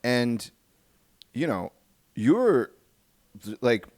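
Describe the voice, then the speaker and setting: A man hosting a podcast in a casual conversational way, rephrasing his thoughts haltingly as he explains them. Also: medium pitch, big pitch swings, darkish tone, dry recording, clean studio sound. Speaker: podcast host.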